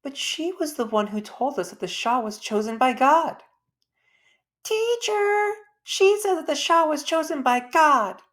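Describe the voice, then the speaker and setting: A woman voicing an eager, outspoken little schoolgirl in a storybook, exclaiming excitably. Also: high-pitched, unhurried, voice pushed up. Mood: indignant.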